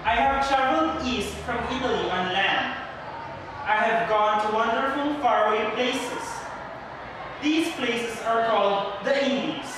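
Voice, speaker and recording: A man's voice: extremely high pitch, unhurried. A male student performer playing proud explorers and kings in a readers' theater recital. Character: proud traveled explorer and scoffing king, male. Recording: echoey hall from far off, noisy.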